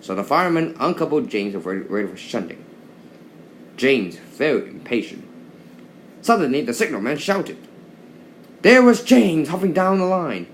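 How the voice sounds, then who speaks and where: A man reads a children's story aloud in a highly animated voice. He stumbles over words and repeats them as he goes, and there is noticeable noise in the background. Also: normal speed, pauses often. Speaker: storybook narrator. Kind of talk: children's read-along narration.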